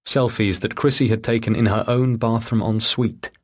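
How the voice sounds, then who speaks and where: Low, husky male audiobook narrator. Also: fairly even intonation, normal speed. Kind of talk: scripted thriller narration.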